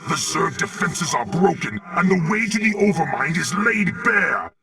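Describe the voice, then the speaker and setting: An actor playing a fierce, proud alien warrior in a video game cutscene, declaiming formally and forcefully. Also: male voice, fairly high pitch, very animated, normal speed, vocal fry, recorded from a distance, heavily acted. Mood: triumphant resolve.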